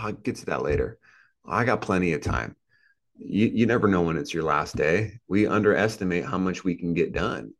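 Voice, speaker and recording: This is a man in his thirties with a low-pitched voice. He talks like a motivational podcast guest, earnest and emphatic. He builds his points through repetition and checks in with short rhetorical tags. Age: 30s. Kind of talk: motivational interview talk.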